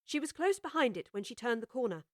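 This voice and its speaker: A woman narrating a children's storybook audiobook with expressive, scripted storytelling. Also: on the high side, quick pace, no echo, studio quality.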